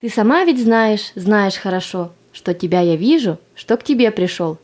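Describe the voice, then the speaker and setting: A woman's voice: medium pitch, expressive, normal speed, a little mellow, close to an everyday voice. A woman acting a naive, lovestruck Soviet schoolgirl in an audio play, speaking and reciting in a tender, wistful manner. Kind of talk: dramatic monologue and verse recital.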